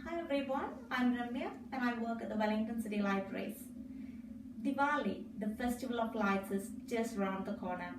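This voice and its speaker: A woman's voice: fairly high pitch, fairly quick. A woman librarian from Kerala, India, whose first language is Malayalam, speaking in a friendly, hesitant way with fillers. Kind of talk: casual interview. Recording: echoey room, mic far off, noisy.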